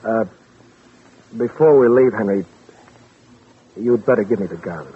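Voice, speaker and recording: A man acting a smooth, persuasive friend who poses as a loyal helper in a 1940s radio drama. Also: fairly low pitch, unhurried. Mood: brisk, persuasive. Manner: persuasive, reassuring, takes charge. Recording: noisy, tinny vintage radio recording.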